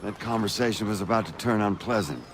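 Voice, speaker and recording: An actor playing a genial, self-assured colonial patriot in a video game, speaking with polished eloquence. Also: male voice, lowish voice, fast talker, slight background noise, close to an everyday voice. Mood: affable. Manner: polished, oratorical, affable.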